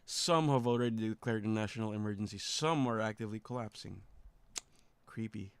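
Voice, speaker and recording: A man's voice: on the low side, a little fast, clean tone. A man streaming in a casual, laid-back way, reading text aloud and muttering short reactions to it.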